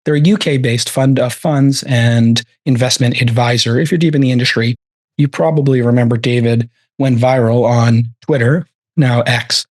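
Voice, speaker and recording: A man with a raspy voice hosts a podcast in a relaxed, chatty way. His voice sits at a middle pitch and is recorded close and dry, with no room sound. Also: dry recording. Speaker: podcast host. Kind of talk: casual podcast interviewing.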